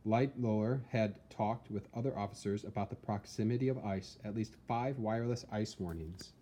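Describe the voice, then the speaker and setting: A man reading a historical account as dramatic scripted narration, in a measured, theatrical storytelling manner. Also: lowish voice, mellow, a little hiss or hum. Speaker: reader, narrator.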